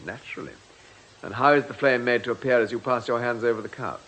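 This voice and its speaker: An actor playing a courteous, probing chief inspector in a radio drama, with a measured, drily ironic way of questioning. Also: male voice, mid-range voice, a bit bright, slight background noise, lightly acted, poor audio. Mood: drily inquisitive. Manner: polite, measured, dry questioning.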